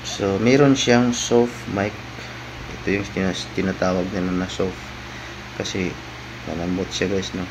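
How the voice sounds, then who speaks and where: A man giving a casual, halting unboxing narration as a vlogger, often tagging phrases with a questioning word. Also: sits low, steady tone, noisy background.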